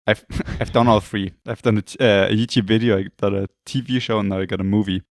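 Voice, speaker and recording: A slightly hoarse man co-hosting a podcast, talking in a rambling, hesitant way and often correcting himself. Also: fairly low pitch, fairly even intonation, fast talker, no echo, clean studio sound. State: losing his voice, throat clearing. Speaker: podcast co-host. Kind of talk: casual chat.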